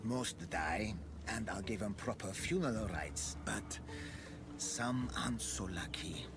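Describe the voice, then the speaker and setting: A man's voice: lowish voice, lively intonation, takes it slowly, music in the background. An actor voicing a weary, sardonic ferryman of the dead in a video game, with a wry, theatrical delivery. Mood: matter-of-fact.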